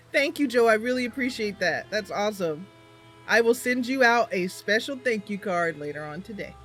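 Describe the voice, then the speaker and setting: A woman hosts a gaming livestream in a casual, effusive way. Her pitch moves around a lot as she chats to viewers. Soft music plays behind her. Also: animated, moderate pace. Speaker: livestream host.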